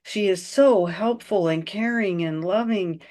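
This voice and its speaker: A woman's voice: medium pitch, measured pace, a little mellow. A woman co-hosting a podcast, a mother speaking in an earnest, heartfelt way. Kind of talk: candid conversational chat.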